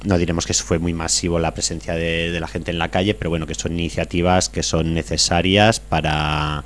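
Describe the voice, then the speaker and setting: A man hosting a casual political radio chat, relaxed and leading the talk with short prompts. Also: low voice, a little fast, slight background noise.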